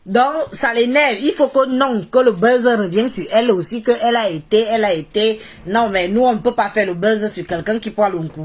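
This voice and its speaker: Congested Cameroonian woman ranting casually. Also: mid-range voice. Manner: mocking, opinionated, repeats phrases for emphasis. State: congested with a cold. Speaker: social media live streamer. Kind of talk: casual live-stream rant to followers.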